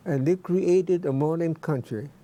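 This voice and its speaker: Mellow, halting man in his nineties. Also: on the high side. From Hawaii, United States. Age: 90s. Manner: halting, searching for words, repeats himself. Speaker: retired history professor, interview guest. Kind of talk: casual interview talk.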